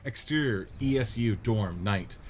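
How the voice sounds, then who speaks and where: A man narrating the stage directions of a radio play script in a steady, descriptive way. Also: fairly low pitch, unhurried, slight background noise.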